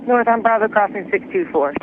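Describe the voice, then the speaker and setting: Airport vehicle driver, man, high voice, brisk radio calls, thin phone-like radio with faint static. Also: lively intonation. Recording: tinny radio transmission with static.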